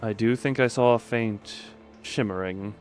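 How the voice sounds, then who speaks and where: A man's voice: fairly low pitch, unhurried. Male game streamer over music. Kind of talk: live playthrough chat and dramatic reading of story text.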